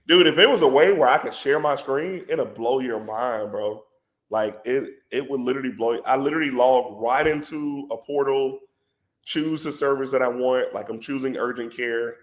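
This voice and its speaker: A man with a head cold and a congested voice, a company president talking as a podcast guest in an animated, persuasive way. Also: medium pitch. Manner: animated and persuasive, says "bro" a lot. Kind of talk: casual podcast conversation.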